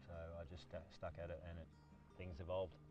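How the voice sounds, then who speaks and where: An Australian man chatting casually in an interview, laid-back and halting, with chuckles between phrases. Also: low voice, completely flat delivery, distant mic, highs cut off, music in the background. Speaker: island guide and ranger being interviewed. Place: outdoors on a lake beach.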